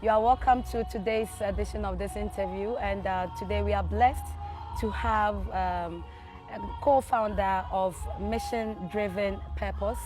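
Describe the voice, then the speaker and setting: A woman's voice: mid-range voice, fairly even intonation, unhurried, music in the background. Woman hosting a TV interview. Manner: formal, brief prompts and frequent okays. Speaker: television interview host.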